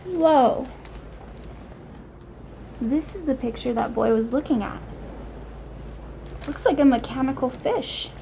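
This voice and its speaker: Young woman, picture book read-aloud, high pitch, questioning storyteller manner, clearly noisy home recording. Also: expressive, slow pace, long pauses, dark tone. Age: young adult. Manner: simple, questioning, wondering, as if to young children. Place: small room at home, indoors. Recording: noticeable background noise, home recording.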